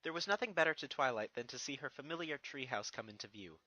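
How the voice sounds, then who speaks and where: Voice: mid-range voice, lively intonation, quick pace, bright, forceful tone, no echo, rough recording. A narrator reading a fan fiction story expressively from a script, with music behind. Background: background music.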